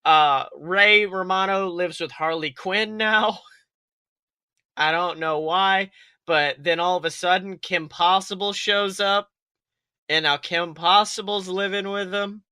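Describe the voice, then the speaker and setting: A man's voice: high voice, steady tone, slow pace, pushed and bright, smooth, no echo, clean studio sound. A man co-hosting a podcast with casual comedic banter, rambling and exclamatory. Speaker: podcast co-host.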